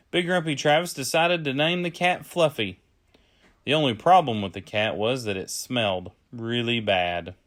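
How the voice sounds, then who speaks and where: A man reading a children's story aloud as a storyteller, with deliberate phrasing. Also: medium pitch, fairly bright tone. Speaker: storyteller, early childhood educator.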